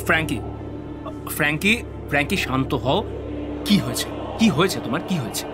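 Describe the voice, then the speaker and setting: A man's voice: a little high, expressive, brisk, over music, lightly acted. A man playing a concerned, caring friend in an audio drama, anxious and soothing. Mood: worried soothing.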